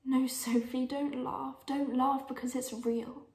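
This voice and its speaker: A fairly high-voiced young woman in love , played by an actress, speaks in a dramatic monologue. She is earnest and aching, hesitating and sinking toward a whisper. The room echoes around her. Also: flat delivery, slow pace, a little mellow. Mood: aching, intense. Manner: hesitant, breathy drops into near-whisper. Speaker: actor in a monologue showreel. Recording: roomy, echoing room sound.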